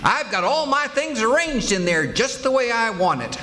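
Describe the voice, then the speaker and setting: Folksy blustering husband played by a man, 1940s radio comedy. High, very animated, stubborn, homespun. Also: moderate pace, echoey room, full character voice. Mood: defensive, boastful. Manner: folksy, ungrammatical, blustering speech.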